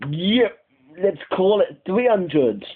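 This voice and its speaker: Cheeky farmer, put on by a man, exaggerated English country accent, drawn-out provocative delivery, raised lively pitch, CB radio prank. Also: narrow, tinny audio, very noisy, full character voice. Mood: teasing.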